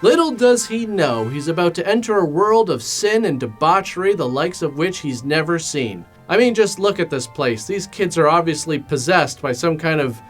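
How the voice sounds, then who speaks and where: A man with a slightly high voice narrates a comedic movie recap over music. His delivery is sarcastic and mock-dramatic, with casual crudeness thrown in. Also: expressive, normal speed, hardly any pauses. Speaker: host of a film commentary show.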